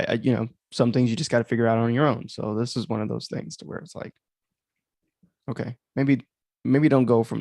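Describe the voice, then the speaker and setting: A man's voice: mid-range voice, gravelly, darkish tone, no echo. A man hosting a livestream in casual, rambling chat, commenting on his own audio drama and easily amused.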